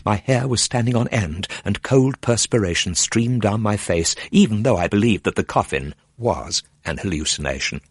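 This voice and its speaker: Low, husky man reading a suspense story. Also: expressive, normal speed, hardly any pauses, no room sound, clean studio sound. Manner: theatrical, suspenseful. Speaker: narrator reading a horror story. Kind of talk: dramatic first-person audiobook narration.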